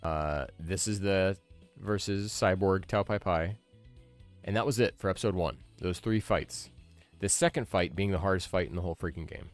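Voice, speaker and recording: A man's voice: deep voice, normal speed. A man in his thirties giving casual game commentary as a streamer, with wry, grumbling asides. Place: small indoor room, livestream setup. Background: game music.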